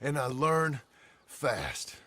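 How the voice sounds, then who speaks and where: An actor playing a guarded, plain-spoken frontier ranch hand and father in a Western game, with a gravelly voice. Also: mid-range voice, big pitch swings, extremely slow, fairly bright tone, dry recording, heavily acted. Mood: guarded, firm. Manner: terse, folksy, plain-spoken. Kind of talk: naturalistic Western game acting.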